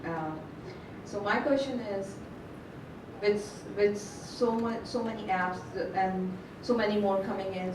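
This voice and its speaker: A woman in the audience asking a question in a halting, careful way. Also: mid-range voice. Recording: distant, roomy, noisy event audio.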